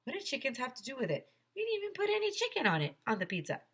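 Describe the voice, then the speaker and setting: A woman's voice: high-pitched, huge pitch swings, rapid-fire, fairly bright tone, slightly rough, voice pushed up, exaggerated voice. A woman voicing an earnest, wisecracking young schoolboy in a children's storybook, matter-of-fact and questioning. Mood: puzzled.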